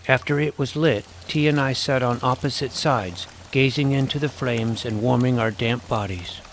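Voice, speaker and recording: A man's voice: medium pitch, moderate pace, slight background noise, natural voice. A man playing a naive, self-doubting, geeky boy who narrates his fantasy adventure in a wry, youthful, earnest way. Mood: wry storytelling. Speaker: narrator and lead character. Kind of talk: first-person scripted fantasy narration and dialogue. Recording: music behind the voice.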